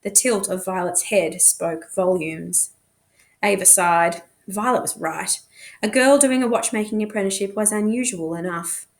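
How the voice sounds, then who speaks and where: A woman's voice: medium pitch, normal speed. A woman narrating a novel expressively, as a teacher reading aloud to students.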